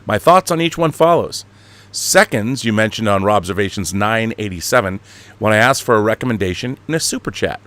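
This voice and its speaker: A man's voice: on the low side, animated, a little fast, a bit bright. An American man hosting an online show in a casual, rambling monologue to his viewers, with a grandiose, playful showman's manner.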